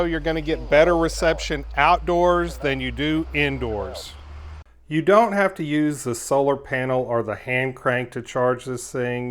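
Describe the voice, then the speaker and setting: A man narrating a product review as its host, explaining things in a measured, methodical way. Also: mid-range voice.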